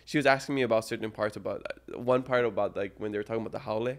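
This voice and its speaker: A man's voice: lowish voice, very fast. Laid-back man. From Hawaii. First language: Hawaiian. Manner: relaxed, rambling with many fillers. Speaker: podcast host. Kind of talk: casual podcast interview.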